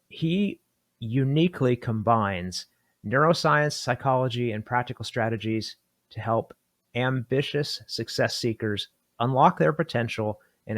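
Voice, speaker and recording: A man's voice: medium pitch, no room sound. A man hosting an interview podcast, delivering intros and questions to his guest. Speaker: podcast host.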